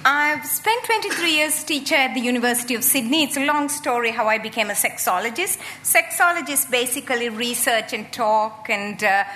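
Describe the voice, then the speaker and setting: A woman in her sixties from Sri Lanka, giving a humorous, animated public talk as a guest speaker. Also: on the high side, normal speed, few pauses, a little hiss or hum. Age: 60s. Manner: lively, animated, joking.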